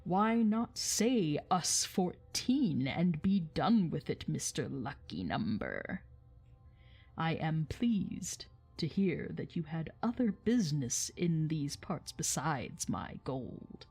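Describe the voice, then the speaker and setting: A woman voicing a boastful, menacing old male dragon in a storybook reading, with slow, drawn-out, emphatic speech. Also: low-pitched, sing-song, darkish tone, slightly rough, soft background music, exaggerated voice. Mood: mocking.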